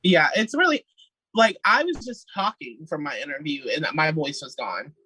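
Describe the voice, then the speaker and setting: A man's voice: on the high side, animated, moderate pace, pushed and bright, slightly rough, dry recording, rough recording. A young man, a singer, chatting playfully as a podcast guest and laughing often. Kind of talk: casual interview chat.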